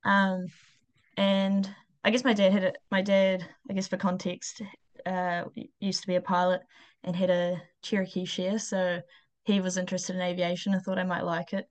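A woman's voice: fairly low pitch, monotone, moderate pace. A woman with a New Zealand accent, speaking informally and a little hesitantly as a guest in a casual interview. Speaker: interview guest, aircraft technician and pilot.

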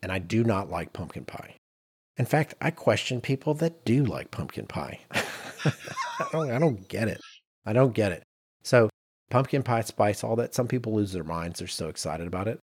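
A man co-hosting a podcast in casual banter, telling anecdotes in an animated way and acting out quotes. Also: on the low side, normal speed, breathy edge. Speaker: podcast co-host.

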